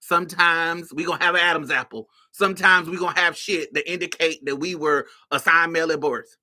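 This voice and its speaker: A woman's voice: low-pitched, steady tone, brisk, bright, forceful tone. A woman hosting a podcast, giving a casual monologue in a sassy, blunt way. She swears freely and laughs often. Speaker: podcast host.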